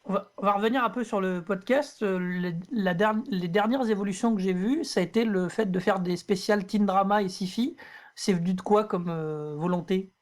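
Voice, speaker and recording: Podcast host, rapid talker with a medium pitch, informal interviewing style. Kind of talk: casual interview chat.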